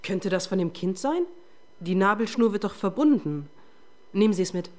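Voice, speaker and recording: Actress playing a brusque, lively female detective. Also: medium pitch, normal speed, natural voice. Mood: businesslike. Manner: curt, bossy. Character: brusque, exasperated female police detective, commanding her colleague. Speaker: actress in a radio crime drama. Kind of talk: German radio crime drama acting.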